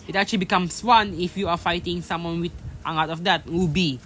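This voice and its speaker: A woman's voice: on the low side, fairly even intonation, fairly quick. A young woman casually voicing over a mobile game tutorial, rambling with lots of fillers and restarts and slipping into an exasperated rant. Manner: exasperated ranting. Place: home voice-over recording. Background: faint background music.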